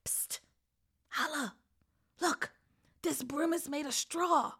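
Woman voicing a shrill frightened little girl. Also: takes it slowly, a bit bright, full character voice. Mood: hushed. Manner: pleading, shrill when upset. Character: frightened young girl protecting her twin brother.

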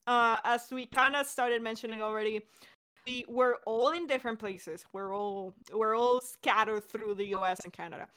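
Higher-voiced woman chatting in a Q&A. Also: fairly even intonation, moderate pace, fairly bright tone, clean tone. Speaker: podcast director and co-host. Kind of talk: casual Q&A chat.

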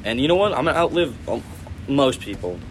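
Young man, podcast co-host, rambly and loose, mid-pitch voice, phone recording with clear background noise. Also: sing-song, brisk. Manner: rambling, many restarts and fillers. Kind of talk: casual chat. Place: jazz band competition venue. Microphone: phone speaker. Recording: phone recording at a noisy competition.

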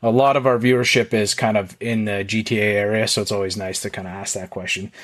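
A young adult man with a Canadian accent, hosting a casual interview and asking quick-fire questions in a friendly, rambling way. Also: on the low side, steady tone. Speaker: podcast host.

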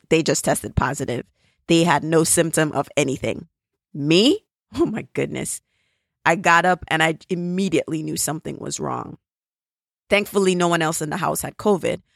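A woman's voice: deep voice, gravelly, dry recording, studio quality. A woman with a Jamaican accent hosting her own podcast, talking casually and earnestly as she thanks listeners and asks for their support. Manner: earnest, grateful appeal for support. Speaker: podcast host. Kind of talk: casual solo podcast update.

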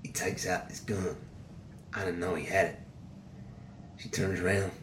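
Male actor doing a low-voiced, sneering, crude-talking convict; roomy echo, faint music under. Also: steady tone, unhurried, a little hiss or hum. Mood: storytelling, cold. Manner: coarse drawling slang, sneering. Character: defiant male convict serving life, bitter and crude. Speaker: prison inmate. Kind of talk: dramatic dialogue acting.

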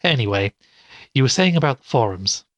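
A tired, sceptical podcaster in an audio drama, played by a man. His voice sits at a middling pitch and is recorded very dry. He talks casually and without fuss, like someone telling his own mystery. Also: expressive, fairly quick, dry recording, close to an everyday voice.

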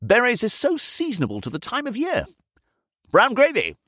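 Man doing an eager, high-pitched manservant. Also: huge pitch swings, normal speed, bright, forceful tone, no echo, studio quality, heavily acted. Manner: emphatic, over-agreeing, uneducated grammar. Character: eager, agreeable male servant, fussy and emphatic.

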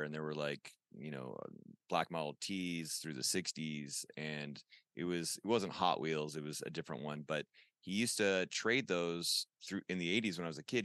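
A man's voice: sits low, fairly bright tone, dry recording, clean studio sound. A man in his forties chatting casually as a podcast guest, rambling and enthusiastic, often saying "dude". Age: 40s. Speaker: podcast guest, toy artist. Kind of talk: casual chat.

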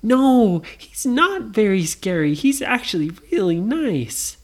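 A woman's voice: mid-range voice, very animated, slow pace, a little mellow, voice pushed up. A woman voicing a brave, friendly little girl in a children's bedtime-style story. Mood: friendly, earnest.

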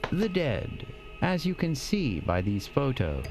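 An actor playing a courteous, solemn male android in an audio drama, speaking in a measured, eerily polite way. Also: mid-range voice, huge pitch swings, music in the background, heavily acted.

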